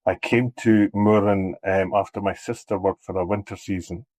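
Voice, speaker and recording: A man's voice: deep voice, steady tone, moderate pace, a little mellow, no echo. A middle-aged Scottish man, guest on a travel podcast, talking about his work, often repeating words as he restarts. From Scotland. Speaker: cableway marketing head. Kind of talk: casual interview talk.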